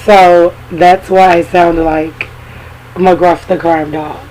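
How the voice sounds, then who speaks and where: A hoarse woman with a cold hosting a podcast in casual, chatty talk full of fillers and asides. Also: fairly low pitch, little pitch movement, takes it slowly, a little mellow, a little hiss or hum, poor audio. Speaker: podcast host.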